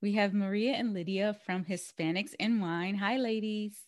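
A woman hosting a podcast, welcoming guests in a warm way and laughing often. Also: mid-range voice, steady tone, normal speed. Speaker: podcast host. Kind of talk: casual chat, hosting.

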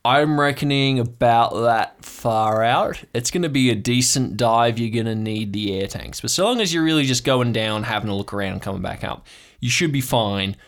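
A rambling, blustery old-salt uncle acted by a man in an improvised audio drama. He sits at a middle male pitch, tinkers with boats and wanders off into paranoid tangents. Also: animated, normal speed, close to an everyday voice. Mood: practical, paranoid. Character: eccentric conspiracy-minded uncle, chatty boat-owning tinkerer.

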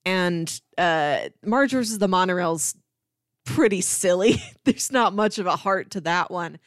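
Low-pitched woman in casual conversation. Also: expressive, unhurried, dry recording, clean studio sound. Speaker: podcast co-host. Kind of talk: casual chat about sitcoms.

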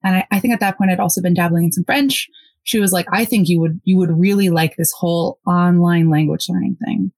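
Woman from the US, podcast guest, excited quick storytelling, dry close sound. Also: lowish voice, dry recording. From United States. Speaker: interview guest. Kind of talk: casual podcast interview.

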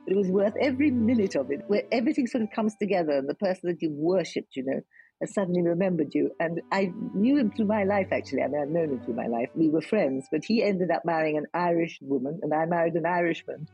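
Older woman, dark husky voice, emphatic personal storyteller. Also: fairly low pitch, animated, fast, highs cut off, faint music behind. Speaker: discussion participant.

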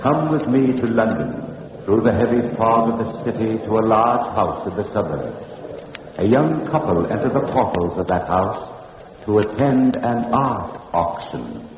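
An actor playing a grave, ominous narrator of horror tales in a solemn, theatrical 1940s radio style. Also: male voice, on the low side, very animated, unhurried. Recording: old muffled noisy radio recording, cavernous echo.

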